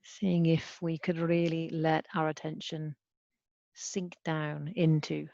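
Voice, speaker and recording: A woman leading a guided body scan meditation as a mindfulness teacher, speaking gently and unhurriedly with long pauses. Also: low-pitched, little pitch movement, vocal fry, no room sound. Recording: dull, muffled lo-fi sound.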